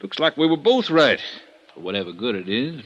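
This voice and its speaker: A man's voice: mid-range voice, sing-song, fairly quick, a bit bright, slightly rough, dull audio. An actor playing a blunt, sardonic male police lieutenant in a 1950s radio drama.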